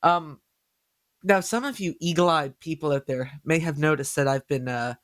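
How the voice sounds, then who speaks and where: A man hosting a podcast in a casual chat, with a nasal voice, excitable and stumbling over his words. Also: on the high side, moderate pace, no room sound, clean studio sound. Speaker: podcast host.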